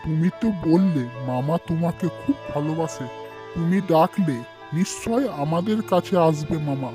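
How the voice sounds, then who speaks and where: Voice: mid-range voice, moderate pace, over music, close to an everyday voice. An actor voicing an eager, inquisitive young village boy who loves food, in cartoon dialogue. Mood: eager, pleading.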